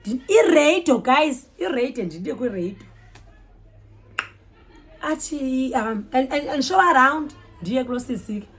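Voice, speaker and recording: High-voiced South African Xhosa woman telling stories. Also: measured pace, a little hiss or hum. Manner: animated, code-switches mid-sentence, exclaims and acts out dialogue. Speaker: storytime vlogger. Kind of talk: casual conversational storytelling.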